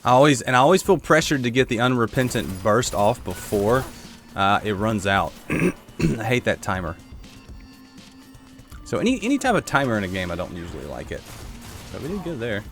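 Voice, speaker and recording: A man giving casual gameplay commentary while playing a shooter, with a congested throat he keeps clearing, chatting to viewers and reacting aloud. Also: mid-range voice, sing-song, moderate pace, fairly bright tone, faint music behind. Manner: chatty, reacts aloud to the game, answers chat. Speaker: gaming YouTuber.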